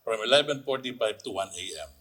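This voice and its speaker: A man's voice: mid-range voice, lively intonation, fairly quick, a crowd in the background. A man with a Filipino accent, speaking as a radio drama talent in an interview. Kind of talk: interview answers.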